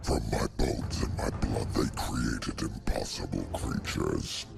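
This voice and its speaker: An actor playing an ancient, bitter, immortal monster tyrant in a video game, deep and growling, speaking slowly and grandly. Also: male voice, creaky, heavily acted. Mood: bitter, grandiose. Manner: slow, grandiose villain monologuing. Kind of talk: dramatic video game acting. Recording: loud music under the voice.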